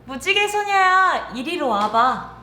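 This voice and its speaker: A woman as a slow, kindly cloud. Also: high voice, very animated, pushed and bright, a little hiss or hum, voice pushed up, full character voice. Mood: encouraging, calling. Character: kind, comforting, self-sacrificing cloud spirit. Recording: roomy echo, music underneath.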